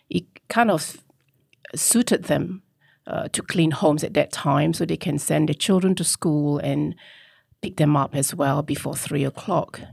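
A woman in her fifties from Singapore, speaking as a podcast guest. Her voice is low and heavily gravelly, and she talks slowly with plenty of halting restarts. The sound is close and dry. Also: a little mellow, no room sound. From Malaysia, raised in Singapore. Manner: halting, many fillers and restarts. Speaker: guest, business founder. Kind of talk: casual interview.

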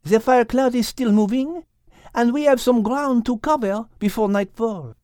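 A man voicing a poised, clever female French cat with measured, polite speech, in an audiobook. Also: very high-pitched, mellow, smooth, no echo, studio quality, pitched above the performer's own voice. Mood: warm, kindly. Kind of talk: audiobook character acting.

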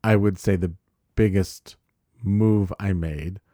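A man co-hosting a podcast, speaking in a measured and thoughtful way with some halting restarts. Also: low voice, steady tone, mellow, no room sound, studio quality. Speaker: podcast co-host. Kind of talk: reflective conversation.

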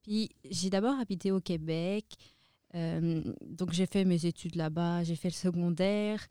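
A young woman from Belgium, a student guest on a radio show, introducing herself with hesitant pauses. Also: lowish voice, fairly even intonation, dark tone, clean tone, dry recording, studio quality. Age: young adult. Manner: hesitant, many fillers. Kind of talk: casual chat. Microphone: studio microphone.

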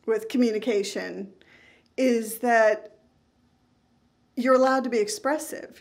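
A middle-aged woman from New England talks in an easy, earnest way. Her voice has a steady gravelly fry running through it. She sounds like a therapist chatting openly as a podcast guest. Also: a little high. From New England, United States. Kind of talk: casual interview conversation.

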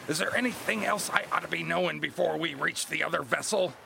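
A gravelly, domineering sea captain. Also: male voice, fairly high pitch, brisk, background noise. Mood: menacing, demanding. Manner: gruff, commanding, threatening, sneering. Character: harsh, domineering male sea captain of a pirate crew. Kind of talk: audio drama acting.

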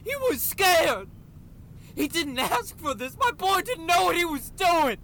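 A man's voice: very high voice, big pitch swings, measured pace, bright, forceful tone, a little hiss or hum, exaggerated voice. An actor in an audio drama playing a grieving, menacing gangster father bent on revenge, emotional and prone to swearing. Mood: anguished rage. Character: grieving, vengeful gangster father with armed men.

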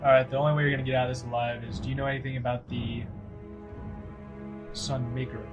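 A man's voice: mid-range voice, little pitch movement, quick pace. Casual male gamer, dull lo-fi sound. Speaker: tabletop game player. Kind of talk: casual in-game chat. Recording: dull lo-fi sound, music underneath.

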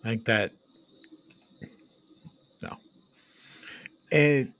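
A man's voice: medium pitch, very slow, fairly bright tone. A man co-hosting a podcast in casual chat, reacting hesitantly and often repeating himself. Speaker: podcast co-host.

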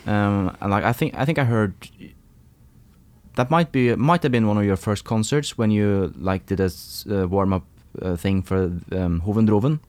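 A man's voice: lowish voice, moderate pace, a little mellow. A man hosting a podcast, asking questions in a chatty, informal way with frequent fillers. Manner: chatty, laughs often, many fillers. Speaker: podcast host. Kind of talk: casual interview hosting.

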